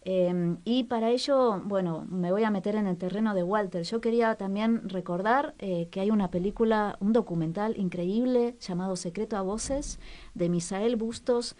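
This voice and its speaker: A woman presenting cultural recommendations on the radio in a casual, conversational way, pausing to search for words and repeating some for emphasis. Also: medium pitch, dark tone.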